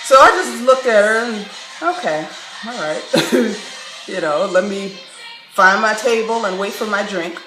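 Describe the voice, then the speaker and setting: A woman from Chicago telling a story to camera in a candid, wry vlog, breaking into laughs and animated asides. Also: mid-range voice, normal speed. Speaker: vlogger. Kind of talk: casual storytelling monologue. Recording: echoey room, faint background music.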